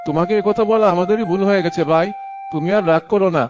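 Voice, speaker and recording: A man's voice: high-pitched, fast, close to an everyday voice. A man voicing a mild, chatty village washerman in a cartoon, given to small complaints. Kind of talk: cartoon character voice acting. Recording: dull audio, soft music, birdsong.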